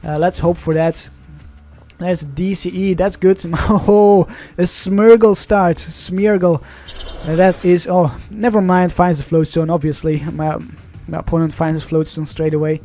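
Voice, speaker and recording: A man's voice: high-pitched, fairly even intonation, measured pace, dark tone, faint music behind. A young man whose first language is Dutch, casually commenting on an online card game in a chatty, rambling way, often correcting himself. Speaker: gaming channel host.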